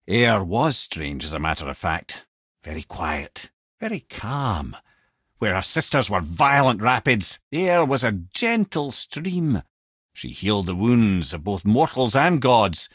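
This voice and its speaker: A wry old scottish sage for a video game, really animated storyteller, close dry sound. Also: male voice, medium pitch, no echo, heavily acted. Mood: reflective storytelling. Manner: eloquent, wry storyteller with colourful old-fashioned turns of phrase. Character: wise, wry, talkative severed head of an old sage. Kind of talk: video game character lines, storytelling and banter.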